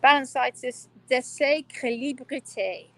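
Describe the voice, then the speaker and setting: A woman's voice: high-pitched, unhurried, a bit bright. A woman hosting a live-streamed hoof-trimming workshop, speaking emphatically and quizzing her students, filmed on a phone indoors. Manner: emphatic, reads viewer comments aloud.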